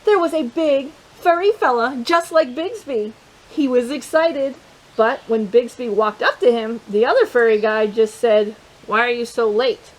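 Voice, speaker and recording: Woman storytime host, high voice, playful picture-book reading for kids. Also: a little slow, low-quality recording. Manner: animated and playful, with chatty asides to young listeners.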